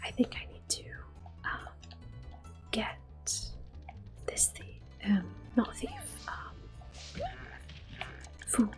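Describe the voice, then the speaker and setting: A woman giving soft-spoken, gentle ASMR commentary over a video game, in a breathy whisper. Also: fairly high pitch, huge pitch swings, faint music behind. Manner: gentle, unhurried. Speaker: ASMR gameplay host.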